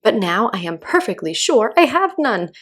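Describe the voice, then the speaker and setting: A woman's voice: on the high side, big pitch swings, moderate pace, a bit bright, slightly rough, voice pushed up, full character voice. A woman voicing a boastful, testy old father, reciting rhymed verse from a children's storybook. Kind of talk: children's storybook read-aloud.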